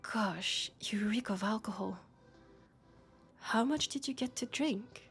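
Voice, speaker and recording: A woman with a French accent voicing a sharp, scolding but caring young policewoman in an intimate sci-fi roleplay audio drama. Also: a little high, slow, soft background music. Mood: annoyed but caring.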